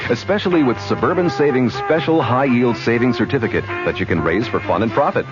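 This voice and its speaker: A man announcing a 1970s radio savings bank commercial with a brisk, upbeat pitch. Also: animated. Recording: dull lo-fi 1970s radio broadcast with music.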